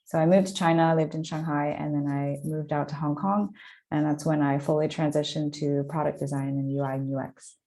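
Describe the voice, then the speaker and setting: A woman from the United States introducing herself as a mentor on a video call, clear and steady. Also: low-pitched, fairly quick.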